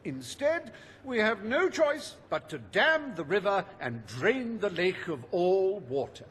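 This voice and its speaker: A smug industrialist from a video game, pompous and greedy. His high voice swoops theatrically as he draws out his words at an unhurried, self-satisfied pace. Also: sing-song, a bit bright, a little hiss or hum, exaggerated voice. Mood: smug persuasion. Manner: pompous, drawling, theatrical oratory.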